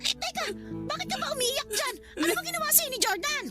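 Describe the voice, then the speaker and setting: An extremely high-voiced, indignant aunt in a radio drama with music behind her. She is stern and defensive, with a scolding, belittling edge. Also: expressive, fast. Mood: suspicious, startled. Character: stern, dismissive middle-aged aunt, defensive of her son. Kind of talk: Tagalog radio drama acting.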